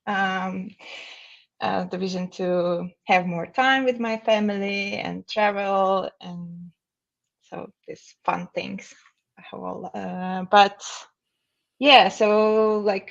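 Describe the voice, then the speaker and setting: Female interview guest, Russian accent, slow halting delivery, casual, medium pitch, muffled lo-fi sound. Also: gravelly.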